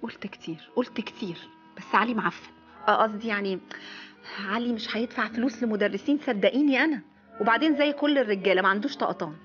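A woman's voice: medium pitch, fast talker, fairly bright tone, lo-fi sound, faint music behind, close to an everyday voice. A woman in her thirties with an Egyptian accent acting an anxious, stubborn wife and mother in a TV comedy, with a fretful manner. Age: 30s. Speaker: actor in a TV comedy series. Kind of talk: comic TV drama dialogue. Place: living room set, indoors.

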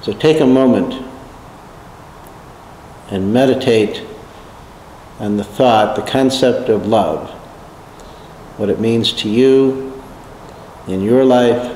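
Calm man leading a meditation. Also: on the low side. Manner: calm, measured, prayerful. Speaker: meditation leader. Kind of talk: slow guided meditation. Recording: echoey hall, faint noise.

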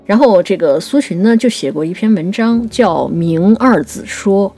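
A woman's voice: medium pitch, lively intonation, normal speed, dark tone, breathy edge, soft background music. A woman co-hosting a talk on poetry, explaining things in a reflective, conversational way. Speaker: podcast writer and co-host explaining poetry. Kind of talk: conversational literary discussion.

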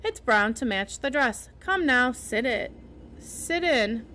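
A woman voicing a brisk, stern elderly spinster who speaks in a firm, no-nonsense way. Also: fairly high pitch, lively intonation, pushed and bright, slight background noise, full character voice.

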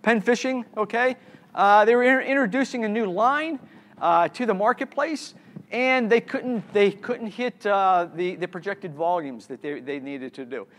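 Keynote speaker, middle-aged man, high-pitched, halting, many restarts, presenting to a room. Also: a bit bright. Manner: halting, repeats words and restarts often. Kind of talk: conference talk with slides. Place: conference room.

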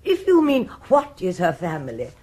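Husky, imperious English aristocrat. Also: female voice, fairly low pitch, huge pitch swings, normal speed, dark tone, heavily acted. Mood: haughty. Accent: upper-class English. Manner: haughty, formal. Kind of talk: radio comedy drama acting.

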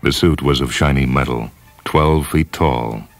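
A man narrating a story in a dramatic, measured, grave manner. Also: a bass voice, no room sound. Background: music.

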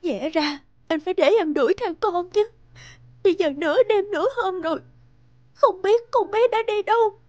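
A woman playing a worried, tearful mother of a teenage girl in an animated drama, anxious and pleading. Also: very high-pitched, normal speed, no room sound, muffled recording.

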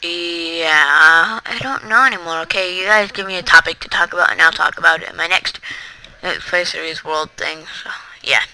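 A gamer giving rambling, chatty commentary over their own play, talking straight to viewers with sudden excitable outbursts. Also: medium pitch, normal speed, low-quality recording. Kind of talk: casual gameplay commentary.

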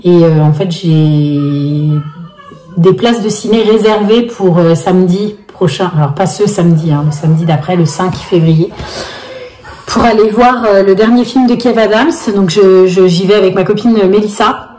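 A woman in her forties vlogging casually at home, chatty and hesitant, with a husky voice and a slight cold. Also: medium pitch, moderate pace, warm tone. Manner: chatty, many hesitations, frequent laughs. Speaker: vlogger, single mother. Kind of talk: casual home vlog to camera. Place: small rooms of a home, indoors. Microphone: phone held at arm's length. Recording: echoey room.

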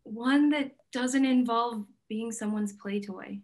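An eager, smitten gallery owner acted by a young woman in a stage play reading. She has a fairly high voice and talks in a casual, candid, gushing way. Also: slow pace. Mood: urgent, insistent. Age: young adult. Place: indoor room at home, video call.

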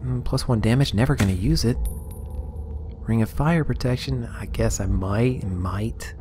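A man casually commenting on his game as he plays, rambling and amused, a little hoarse. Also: medium pitch, measured pace, noisy background. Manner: rambling, self-amused, sorting inventory aloud. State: slightly hoarse. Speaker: let's play host.

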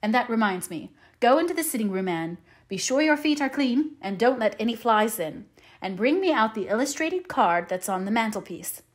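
A woman voicing a stern, practical older female guardian in an audiobook, brisk and matter-of-fact. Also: on the high side, very animated. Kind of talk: audiobook character voice.

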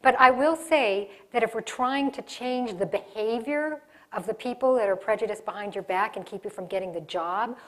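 A middle-aged woman giving a live workshop in a conference room, talking with her hands and often restarting her sentences. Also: medium pitch. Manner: animated, gestures a lot, restarts sentences. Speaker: diversity workshop presenter. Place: conference room in front of a projection screen.

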